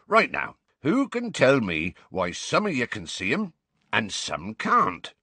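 Man voicing a lively, kindly rustic giant. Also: medium pitch, takes it slowly, husky, no echo, exaggerated voice. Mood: encouraging. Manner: hesitant, informal, folksy. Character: kindly, gigantic rustic male teacher, cheerful and bumbling.

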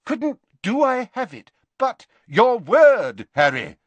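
Man playing a commanding old wizard, slow, deliberate. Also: extremely high pitch, sing-song, dry recording, pitched above the performer's own voice, heavily acted. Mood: firm insistence. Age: elderly. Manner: deliberate, authoritative, solemn. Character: wise, commanding old male wizard headmaster, firm and solemn.

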